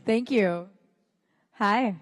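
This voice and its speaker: A woman's voice: medium pitch, extremely slow. A woman moderating a fan panel, giving brief upbeat cues between questions. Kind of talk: short moderator interjections. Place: large convention hall. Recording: reverberant convention hall.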